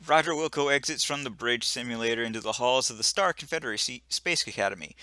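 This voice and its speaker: A man's voice: medium pitch, pushed and bright, no echo. A man playing a video game, making sarcastic remarks and reading the game text aloud, flubbing words now and then. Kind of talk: casual gameplay commentary and reading game text.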